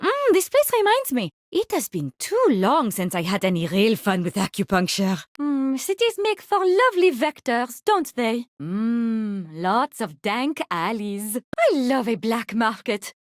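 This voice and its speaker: A macabre French lady doctor from a video game, obsessed and giddy. Her voice sits high and leaps about in pitch with theatrical, genteel relish. The sound is dry, with no room around it. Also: very animated, unhurried, hardly any pauses, no room sound. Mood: relishing, sly. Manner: theatrical, gleefully morbid, genteel wording. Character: giddy, macabre woman mad-scientist fungus doctor. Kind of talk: video game hero voice lines.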